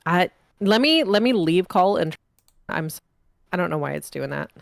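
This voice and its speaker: Woman, lowish voice, chatty, laughs a lot, voice call mic. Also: animated, normal speed, gravelly, no echo, studio quality. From Pacific Northwest, USA. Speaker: voice actor in a group game playthrough. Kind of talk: casual chat over a voice call. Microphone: home mic on a voice call.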